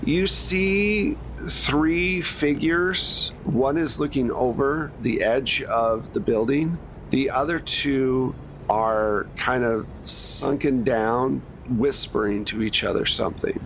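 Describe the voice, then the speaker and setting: A man's voice: medium pitch, slow pace, fairly bright tone, slight background noise, rough recording. A man running a tabletop game as game master, narrating scenes casually and prompting players in a conversational, improvised way.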